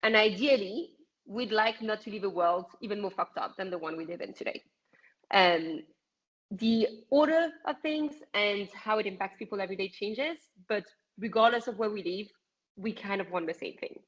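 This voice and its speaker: A woman with a French accent speaks bluntly and passionately in a mid-range voice, often pausing on ums. Her answers come over a video call with slightly muffled audio. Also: medium pitch. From France. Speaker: activist guest. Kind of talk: casual interview answers.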